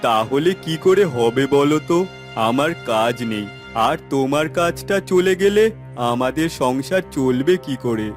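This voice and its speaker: A man's voice: medium pitch, animated, measured pace, natural voice. Man acting a worried village farmer, music behind. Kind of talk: cartoon moral story acting. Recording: voice over background music.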